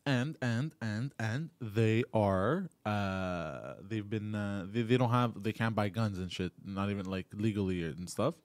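A man co-hosting a podcast, bringing up news topics in casual chat, halting and often repeating words as he talks. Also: fairly low pitch, dry recording. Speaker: podcast co-host.

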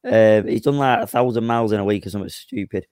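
Croaky male co-host, fast talker, dry close sound. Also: fairly low pitch, darkish tone, dry recording. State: slightly croaky voice. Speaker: podcast co-host. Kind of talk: casual football podcast chat.

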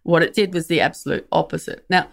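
A woman's voice: sits low, vocal fry. A woman comedian speaking frankly and wryly as an interview guest, often restarting her sentences. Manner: frank, wry, with halting restarts. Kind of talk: reflective interview talk.

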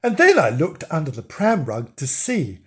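A man's voice: on the high side, wildly swooping pitch, moderate pace. A man voicing a sweetly silly little girl with a wide-eyed, gushing manner in a storybook. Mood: amazed.

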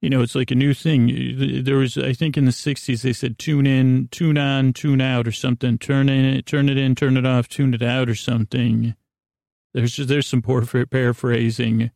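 A man hosting a bedtime podcast, rambling haltingly in a creaky, soothing voice and often trailing off mid-thought. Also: medium pitch, flat delivery, a little mellow, no room sound, studio quality. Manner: soothing, lulling delivery. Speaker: podcast host.

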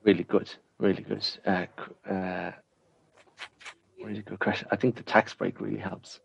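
A man's voice: on the low side, little pitch movement, normal speed, vocal fry, lo-fi sound, slight background noise. An Irish man answering interview questions in a frank, emphatic way, often repeating himself to drive a point home. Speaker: interview guest.

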